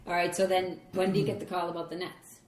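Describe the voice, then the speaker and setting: A woman with a Boston accent hosting a podcast interview in casual chat, laughing often and talking in quick run-on bursts. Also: lowish voice, flat delivery, a little mellow, roomy sound. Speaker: podcast host.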